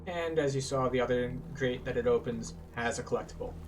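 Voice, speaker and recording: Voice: medium pitch, brisk, far from the mic, music in the background. A gameplay guide host giving casual walkthrough commentary on a video game.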